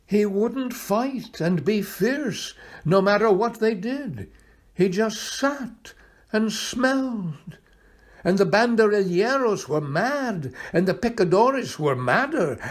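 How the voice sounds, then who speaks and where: A man reading a children's picture book aloud expressively, pausing for drama and addressing his young listeners. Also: sits high. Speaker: volunteer storybook reader.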